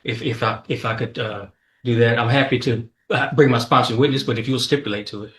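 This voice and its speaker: A man speaking as a prosecutor in a courtroom, questioning and arguing in a halting way with repeated words. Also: mid-range voice, steady tone, a bit bright.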